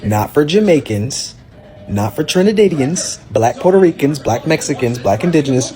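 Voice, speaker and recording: A man's voice: fairly high pitch, animated, normal speed, dark tone. A man giving an emphatic opinion monologue in short, repeated phrases. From Haitian background.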